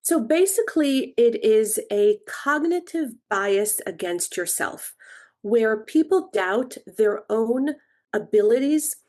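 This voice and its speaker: A middle-aged woman from Israel, a coach being interviewed on a podcast, who explains herself in a thoughtful, measured way. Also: on the high side, lively intonation, a little mellow.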